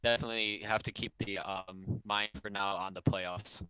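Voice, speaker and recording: A man's voice: on the low side, steady tone, normal speed, bright, forceful tone, clear, resonant tone, rough recording. A man in his twenties, a college esports player, chatting casually after a match with lots of "like". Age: 20s. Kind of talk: post-match interview.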